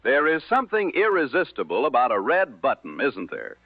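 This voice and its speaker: A man playing a wry, musing 1960s radio host with measured, theatrical phrasing on a dull vintage broadcast. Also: mid-range voice, huge pitch swings, no room sound. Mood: smooth announcing. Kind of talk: scripted 1960s radio show banter.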